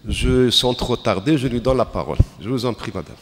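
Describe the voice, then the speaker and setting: A man formally introducing a guest speaker at a festival, measured with occasional fillers. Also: mid-range voice, animated. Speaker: festival host, moderator. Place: lecture hall. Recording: long reverb of a hall.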